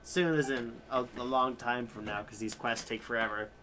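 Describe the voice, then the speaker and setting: A man's voice: medium pitch, moderate pace, pushed and bright, a little hiss or hum. Casual, rambling male gaming streamer. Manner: rambling, joking. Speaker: livestreamer. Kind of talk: casual live gaming commentary. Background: game audio.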